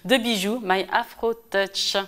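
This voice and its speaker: A woman's voice: mid-range voice, steady tone, slow pace, very noisy. A woman hosting a TV magazine show, introducing segments and interviewing guests in an upbeat, complimentary way. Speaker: TV show host.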